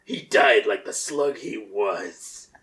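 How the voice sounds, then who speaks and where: A man's voice: lowish voice, slow, a bit bright, echoey room. A man voicing a hulking, cruel and menacing warrior villain in an amateur story reading. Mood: menacing, gloating. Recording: noisy, distant microphone.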